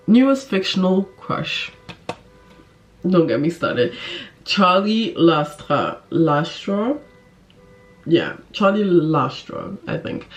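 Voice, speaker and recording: A woman book vlogger chatting casually to camera in a gushing, giggly, emphatic way. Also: low voice.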